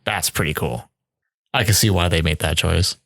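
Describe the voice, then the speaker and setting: A man's voice: low voice, moderate pace, vocal fry, fairly bright tone, dry recording, studio quality. A man co-hosting a casual movie podcast, teasing and joking with his co-host. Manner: playful, teasing banter.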